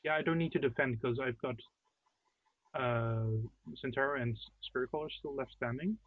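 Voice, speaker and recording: A man co-hosting a card game stream over a remote call, with a husky voice, talking things through with hesitant restarts. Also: medium pitch, moderate pace, no room sound. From outside the United States. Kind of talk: casual post-game chat. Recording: dry, tinny call-quality audio.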